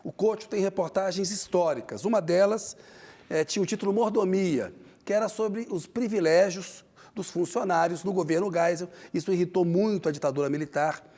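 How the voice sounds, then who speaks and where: A man's voice: high-pitched, moderate pace, warm tone. A man with a Brazilian accent hosting a TV interview, asking his guest friendly, prompting questions. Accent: Brazilian Portuguese. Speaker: TV interview host.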